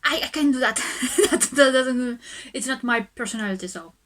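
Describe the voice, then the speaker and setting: A woman hosting a music reaction video, talking calmly and softly, often pausing and restarting her sentences. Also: high voice. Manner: calm, soft-spoken, with hesitant restarts. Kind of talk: casual music reaction commentary.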